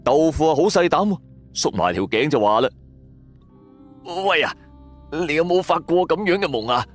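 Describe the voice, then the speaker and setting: A man's voice: fairly high pitch, animated, moderate pace, soft background music. A man narrating a scripted first-person audio drama in a colloquial, suspenseful storytelling manner.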